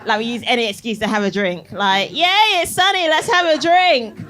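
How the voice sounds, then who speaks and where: A woman's voice: a little high, moderate pace, sharp tone. A young woman from Barbados performing a cheeky stand-up set in a comedy club, teasing the crowd and laughing through her lines. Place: comedy club, live audience.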